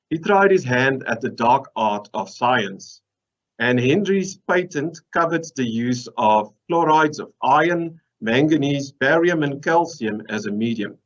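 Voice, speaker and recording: A man in his thirties from South Africa, speaking English with an Afrikaans accent, giving a conference presentation with dry jokes. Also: mid-range voice. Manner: dry joking, lively lecturing. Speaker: process engineer presenter. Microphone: video call webcam. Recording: dull lo-fi video call audio.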